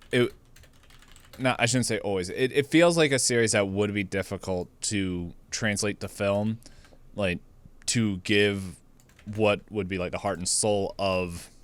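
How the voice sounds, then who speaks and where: A man's voice: deep voice, clear, resonant tone. A man co-hosting a podcast, discussing films casually and correcting himself as he goes. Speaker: podcast co-host.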